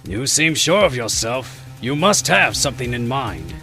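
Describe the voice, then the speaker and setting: A man playing a pompous old courtroom judge with a gravelly, formal delivery in an audio drama. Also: medium pitch, very animated, a little slow, bright, forceful tone, soft background music, full character voice. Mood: stern, formal. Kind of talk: fan audio drama voice acting.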